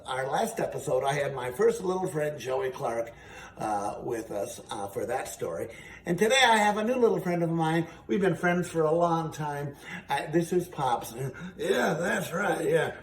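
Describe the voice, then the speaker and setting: A man's voice: a little high, far from the mic. Man, children's storyteller, folksy, patient, comic banter, echoey room, faint background noise. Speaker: host, storyteller and ventriloquist.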